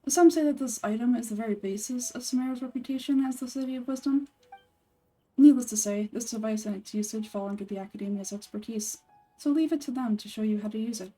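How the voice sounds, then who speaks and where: A woman voicing a composed, sardonic young male forest ranger and scholar in a video game, speaking in a measured, matter-of-fact way. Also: on the high side, dark tone, far from the mic, faint music behind, natural voice, low-quality recording. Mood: calm, explanatory.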